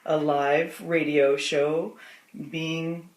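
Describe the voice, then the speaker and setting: A woman's voice: deep voice, flat delivery, drawn-out words, a little mellow. A woman performer chatting casually as she is interviewed with a group. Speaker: radio theatre performer, interviewee. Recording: echoey, distant room recording, noisy.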